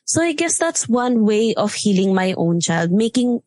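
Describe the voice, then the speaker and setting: A woman with a Filipino accent and a loud, strong voice, chatting casually and mixing Tagalog with English, with many fillers. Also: medium pitch, big pitch swings, normal speed, no room sound. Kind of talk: casual chat.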